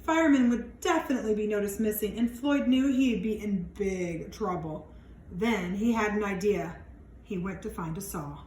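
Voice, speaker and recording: A woman reads a picture book aloud to children in an animated way, stretching words for emphasis and adding chatty, wondering asides. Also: mid-range voice, moderate pace. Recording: echoey room with some background noise.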